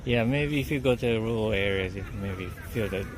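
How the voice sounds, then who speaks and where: A man interviewing tourists in halting English, often giving short backchannel replies. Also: on the low side. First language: Japanese. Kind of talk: street interview. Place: outdoors by a busy street. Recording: loud street traffic noise.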